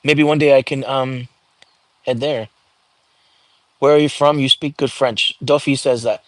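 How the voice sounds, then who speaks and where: A man hosting a casual live stream, chatting and reading viewer comments aloud with lots of fillers and restarts, in a calming voice. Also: medium pitch, unhurried, no echo. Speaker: livestream host.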